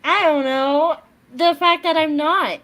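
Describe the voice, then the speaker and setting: A woman's voice: sits high, takes it slowly, fairly bright tone. A woman on a casual online panel chat who giggles and laughs often. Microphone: online call studio.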